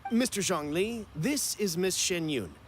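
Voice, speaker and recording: A man's voice: high-pitched, lively intonation, measured pace, a little hiss or hum. A man voicing a flustered, wary merchant in a video game, fussy and apologetic. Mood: eager. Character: flustered, wary male merchant buying antiques. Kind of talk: scripted video game voice acting. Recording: background music.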